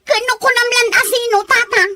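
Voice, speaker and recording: A woman's voice: very high-pitched, steady tone, a little fast, pushed and bright, dry recording, full character voice. A woman with an Ilocano accent playing a nosy, lazy, easily offended village gossip in an audio drama, whiny and needling. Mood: indignant, complaining. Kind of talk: Filipino audio drama dialogue.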